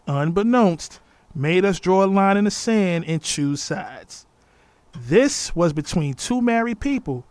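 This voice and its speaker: A man's voice: high-pitched, dry recording. A man acting an observant grown son, narrating a scripted audio drama in the first person. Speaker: narrator and character.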